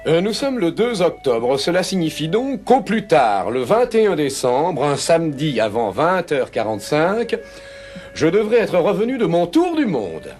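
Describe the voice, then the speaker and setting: A cartoon gentleman adventurer with a high, swooping voice. He is assured and formal, precise in every phrase, never ruffled. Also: huge pitch swings, unhurried, faint music behind. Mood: calm, assured. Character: confident, unflappable English gentleman adventurer taking a bold wager. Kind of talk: French cartoon voice acting.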